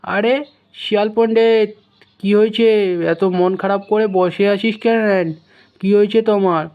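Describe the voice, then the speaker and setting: A man's voice: high-pitched, fairly even intonation, measured pace, clear, resonant tone, dull audio, pitched above the performer's own voice. A man voicing a concerned goat friend who gives advice in a children's animal cartoon.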